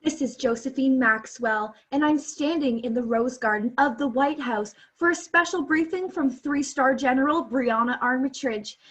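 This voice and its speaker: A woman's voice: high voice, moderate pace, few pauses, husky. A young woman playing an earnest, improvising radio field reporter in a comedic radio play. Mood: earnest, stalling. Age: young adult. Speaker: student actor. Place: small bedroom at home. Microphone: laptop on a video call.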